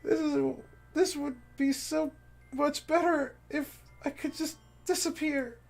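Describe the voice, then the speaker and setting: A man's voice: steady tone, slow pace, vocal fry, heavily acted. A man doing a bubbly, fragile teen girl. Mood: tearful. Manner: high girlish voice, breaking into tearful pleading. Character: cheerful teenage girl, clingy and fragile. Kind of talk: voicing visual novel dialogue. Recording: faint game music behind.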